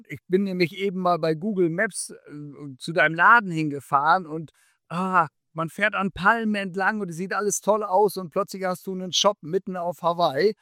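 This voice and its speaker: A male interviewer asks questions in a relaxed way and sometimes slips in a joke. His voice is somewhat high for a man. The sound is dry, with no room echo. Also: moderate pace. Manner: chatty, joking questions. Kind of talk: casual interview.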